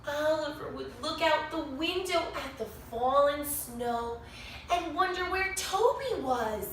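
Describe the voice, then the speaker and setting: A woman reading a picture book to young children in an expressive, sing-song way, pausing to explain things and ask questions. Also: high-pitched. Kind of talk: animated read-aloud story for young children. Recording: very echoey room, distant mic, faint noise.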